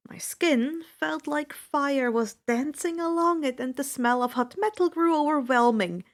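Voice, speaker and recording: High-voiced woman narrating, unhurried. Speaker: fan fiction narrator. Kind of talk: expressive first-person story narration.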